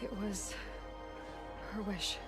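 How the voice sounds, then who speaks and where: Earnest young outcast warrior. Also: female voice, mid-range voice, flat delivery, slow, loud music behind the voice. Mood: hesitant, resigned. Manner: blunt, earnest. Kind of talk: scripted game cutscene acting.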